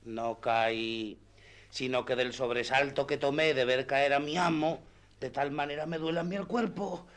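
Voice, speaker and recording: A man's voice: medium pitch, fairly even intonation, normal speed, a bit bright, natural voice. A man playing a talkative, earthy squire in a radio play, folksy and self-important as he explains things. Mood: evasive, sore. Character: talkative, earthy squire, boastful of his master. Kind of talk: radio theatre acting.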